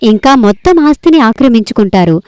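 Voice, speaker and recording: A woman's voice: fairly high pitch, sing-song, fast talker, dark tone, smooth. A woman reading scripted story narration as a storyteller.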